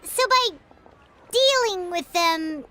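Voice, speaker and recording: A woman voicing a tiny, excitable, cheeky fairy companion with a squeaky childlike voice in a video game. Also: takes it slowly, heavy background noise, full character voice. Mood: bemused. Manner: exclamatory, speaks of self in third person.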